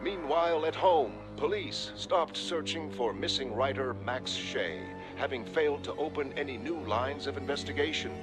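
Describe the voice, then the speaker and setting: Actor as formal TV newsman over loud music. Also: mid-range voice, natural voice. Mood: formal, serious. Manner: formal, measured, authoritative. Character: earnest, formal male television newsreader. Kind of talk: scripted news bulletin delivery.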